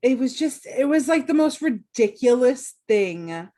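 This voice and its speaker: A woman's voice: sits high, lively intonation, measured pace, dark tone. A woman co-hosting a podcast, chatting casually and playfully and joking often. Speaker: podcast co-host. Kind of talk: casual chat.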